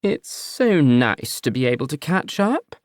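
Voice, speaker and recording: A man reading an audiobook and voicing a genteel, fussing older mother, slow and cloying. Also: fairly high pitch, big pitch swings, no room sound, studio quality, pitched above the performer's own voice.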